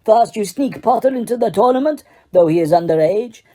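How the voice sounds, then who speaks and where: A proud foreign headmaster, indignant and accusing, who spits out each charge, as a woman reads him. The voice is raised but keeps a mellow, soft-edged tone. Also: mid-range voice, lively intonation, a little fast, natural voice. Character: agitated male foreign headmaster, proud and suspicious.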